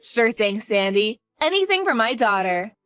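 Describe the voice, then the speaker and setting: A woman's voice: fairly high pitch, sing-song, moderate pace, fairly bright tone, dry recording. A woman voicing a protective, anxious single mother who speaks firmly and pleads in a dramatized story. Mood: warm. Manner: firm, worried, pleading.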